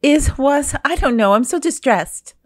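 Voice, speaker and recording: A woman's voice: sits high, animated, measured pace, a little mellow, no room sound, clean studio sound. A woman playing a distraught, mysterious wife seeking help in an old-time radio drama. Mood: worried.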